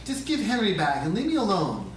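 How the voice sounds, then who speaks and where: A woman's voice: mid-range voice, wildly swooping pitch, a little fast. A woman playing a plaintive, protesting nine-year-old boy at an amateur script read. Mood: sulky. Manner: whiny, protesting. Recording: echoey room, distant mic, hiss.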